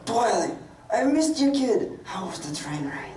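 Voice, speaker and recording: A man's voice: high voice, very animated, unhurried, a little mellow. A man reading the part of a dutiful, defensive older-brother pony guard in a comedic live dramatic reading. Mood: defensive, earnest. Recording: echoey room, distant mic.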